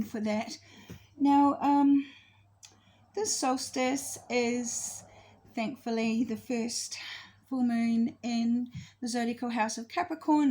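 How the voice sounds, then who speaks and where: High-voiced woman in her fifties, rambling. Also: a little mellow, slight background noise. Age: 50s. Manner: rambling, loses her thread and corrects herself. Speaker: astrology vlogger. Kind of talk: casual spoken monologue to camera.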